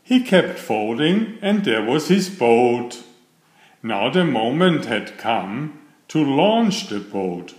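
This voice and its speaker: A man reading a children's story aloud in a deliberate, expressive storytelling manner, with a roomy sound. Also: medium pitch. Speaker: storybook narrator. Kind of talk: children's read-aloud narration.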